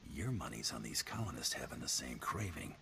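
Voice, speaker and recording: A very deep, sardonic hired gun. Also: male voice, big pitch swings, a little fast, gravelly, bright, forceful tone, slight background noise, exaggerated voice. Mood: dry, cool. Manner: dry, sardonic. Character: dry, tough male hired gun, a cool sidekick. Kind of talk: video game dialogue acting.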